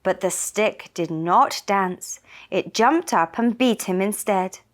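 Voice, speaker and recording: A woman narrates a children's puppet-show story. Her voice sits in a middle register, and she tells it slowly and deliberately, in simple phrases meant for small children. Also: medium pitch.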